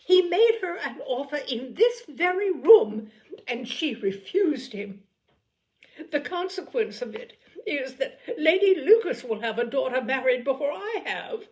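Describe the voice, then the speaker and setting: A woman voicing a fretful, complaining middle-aged mother in an audiobook reading, speaking in a high, aggrieved tone. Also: darkish tone, full character voice, poor audio. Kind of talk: audiobook character voice.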